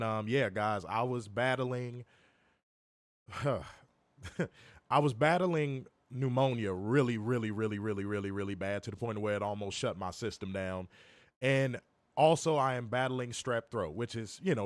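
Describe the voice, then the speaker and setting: A young man co-hosting a wrestling review stream, hoarse from illness, cursing and joking straight to his viewers. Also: fairly low pitch, normal speed, dry recording. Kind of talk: casual live-stream chat. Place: home, in Chicago.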